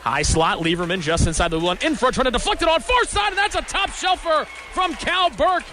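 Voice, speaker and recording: A man's voice: very high-pitched, lively intonation, a little fast. A man doing live radio hockey play-by-play, describing the action in clipped, descriptive fragments. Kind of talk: live sports commentary. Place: hockey arena during a live game.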